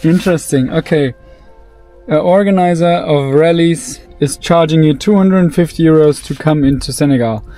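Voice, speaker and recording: A young man with a slightly high voice talks to a handheld camera, relaxed and chatty. He is a native German speaker speaking English, and he stays easygoing and matter-of-fact. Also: normal speed, faint music behind. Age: young adult. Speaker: travel vlogger. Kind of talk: casual vlog to camera. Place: inside a vehicle and outdoors at a border. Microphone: handheld camera at arm's length.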